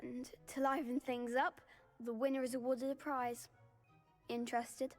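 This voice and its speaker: An actor playing a proper, encouraging dueling club organizer in a video game, with a theatrical announcer's way of talking. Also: male voice, very high-pitched, level tone, unhurried, faint music behind. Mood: inviting. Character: brisk proper male dueling club host.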